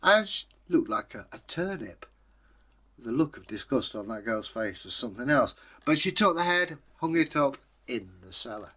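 A man's voice: medium pitch, big pitch swings, moderate pace, fairly bright tone, slightly rough. English man telling a folktale. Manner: wry, conversational asides. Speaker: storyteller, channel host. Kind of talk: animated oral folktale storytelling.

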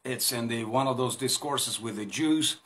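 A man's voice: mid-range voice, normal speed, fairly bright tone. Russian accent guy, 50s, bible talk into his phone, lots of uhs and restarts. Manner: hesitant, many fillers and restarts, gestures while talking. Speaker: Bible teacher. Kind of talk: informal recorded Bible talk. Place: small indoor room against a plain wall. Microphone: phone camera at arm's length.

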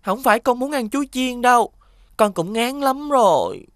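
A woman's voice: a little high, animated, moderate pace, dry recording, studio quality, natural voice. Woman as an earnest little cartoon boy, southern Vietnamese. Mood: sheepish. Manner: polite, earnest. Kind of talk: children's cartoon dubbing.

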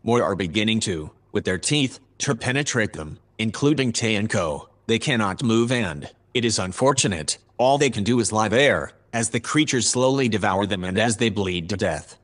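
A man playing a calm, formal artificial intelligence, reporting in a measured, dispassionate way in a sci-fi audio drama. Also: on the low side. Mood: detached.

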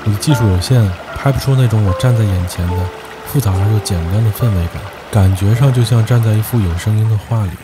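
A man narrating a travel vlog in a calm, descriptive, slightly poetic voice-over. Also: lowish voice, quick pace, over loud music.

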